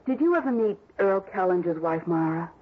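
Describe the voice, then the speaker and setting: A cold, unrepentant widow from a 1960s radio play. The voice is husky and swoops widely, heard through a thin, tinny vintage broadcast recording. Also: female voice, on the low side, expressive, fairly quick. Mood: cool, offhand. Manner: blunt, unrepentant. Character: cold, mercenary widow, bitter about a cheating husband. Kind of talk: 1960s radio drama acting. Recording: muffled, narrow-band old radio recording.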